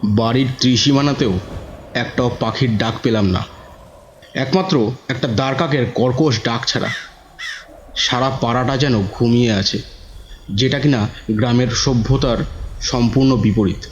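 A man's voice: medium pitch, measured pace, pauses often, slight background noise. A man narrating a scripted horror story in a dramatic, suspenseful manner. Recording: dull audio with music bed.

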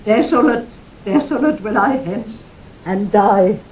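Slow, grieving noble widow. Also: female voice, mid-range voice, animated, dull audio. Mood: desolate grief. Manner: declamatory, halting, sorrowful verse delivery. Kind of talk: classical Shakespearean audio drama acting. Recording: noisy 1960 audio drama recording.

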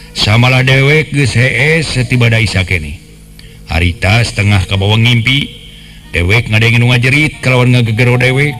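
A man's voice: mid-range voice, fairly bright tone, natural voice. A man playing a blunt, protective older village brother in a radio folk tale, speaking in a coarse, emphatic way. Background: faint music.

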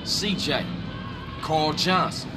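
An actor playing a wary, put-upon young American gangster in a video game, slangy and informal. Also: male voice, a little high, slow, loud music behind the voice. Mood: wary, casual. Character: street-wise young male gangster, wary, put-upon, plain-spoken. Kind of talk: video game cutscene acting.

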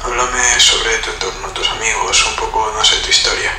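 A man's voice: on the low side, little pitch movement, normal speed. A man asking loose, offhand interview questions. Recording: very echoey room, noisy.

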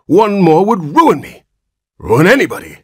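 A man's voice: high voice, wildly swooping pitch, measured pace, a little mellow, husky, pitched above the performer's own voice, heavily acted. A man voicing an irritable, harsh old family doctor who speaks sharply and cuttingly, raised and indignant.

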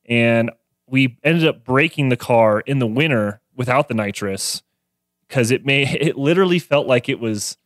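A man's voice: medium pitch, moderate pace, dry recording, studio quality. A man, chatting casually about cars as a guest mechanic on a podcast. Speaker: guest mechanic and car tuner. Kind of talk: casual podcast car chat. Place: small garage-styled podcast studio. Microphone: close studio mic on boom arm.

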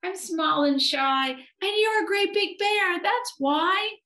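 Woman voicing a high, squeaky little boy. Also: slow pace, roomy sound, full character voice. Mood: shy, pleading. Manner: squeaky, excitable. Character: small, shy, excitable little boy Eddie.

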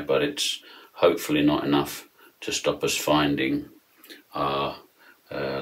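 A man in his fifties talks through a technical demonstration in a very deep, low voice. He explains at a measured, steady pace and fills his pauses with frequent ums. He is recorded on a close camera at his desk in a small room lined with acoustic foam. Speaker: product demonstrator.

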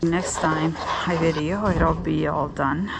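A woman's voice: low voice, fairly even intonation, slow, vocal fry, loud music behind the voice, rough recording. A woman vlogging casually in a chatty, teasing way, recorded on a handheld camera outdoors on a building site. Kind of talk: casual vlog narration and chat. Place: outdoor building site under a raised house. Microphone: handheld camera, held by the speaker.

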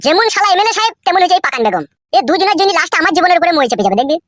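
A man's voice: extremely high pitch, sing-song, very fast, background noise. A man voicing a nervous gossiping village man in a comedy cartoon, confiding and grumbling in a rural West Bengal accent. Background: music.

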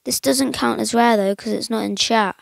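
A young woman chatting casually over a mobile game, rambling with lots of fillers, her voice a little croaky today. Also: fairly high pitch, steady tone, moderate pace, no room sound, studio quality. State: slightly croaky voice. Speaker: gaming channel host. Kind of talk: casual gameplay commentary.

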